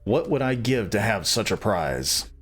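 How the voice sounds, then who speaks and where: A simple, cheerful young servant from an old fairy tale, voiced by a man reading aloud. The voice sits low and easygoing, like a storybook read at bedtime, with faint music underneath. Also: sing-song, a little slow.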